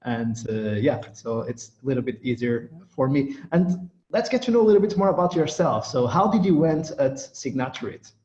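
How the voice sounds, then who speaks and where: A man's voice: mid-range voice, expressive, moderate pace, hardly any pauses, a little mellow. A Portuguese man, a native Portuguese speaker, hosts a business interview podcast, opening with a scripted welcome and trailing off at the ends of phrases. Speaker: podcast host. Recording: dull roomy sound.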